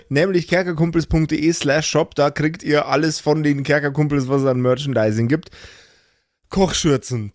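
A man's voice: fairly high pitch, no echo, clean studio sound. A man co-hosting a podcast, casually ad-libbing a joking plug. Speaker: podcast co-host.

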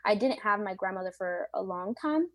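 A woman's voice: medium pitch, lively intonation, a little fast, a bit bright, no echo, studio quality. A woman in her late twenties, a pastry chef being interviewed, speaking earnestly and reflectively with frequent fillers. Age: late 20s. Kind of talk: interview conversation.

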